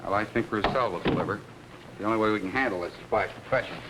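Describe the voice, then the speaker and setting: A man's voice: fairly low pitch, rapid-fire, close to an everyday voice. An actor playing a persistent amateur detective in an early 1950s TV mystery, hesitant at first, then pointed in his questioning. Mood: earnest. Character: persistent, probing amateur detective, son of a policeman. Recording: noisy, clicking 1950s TV soundtrack.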